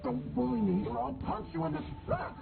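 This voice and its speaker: Scared, childish young guy: very high, wildly swooping, creaky game voice. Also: very animated, a little fast, heavily acted. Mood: panicked. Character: timid, childish young man, frightened and whiny. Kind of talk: video game voice acting. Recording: muffled phone-like sound with music.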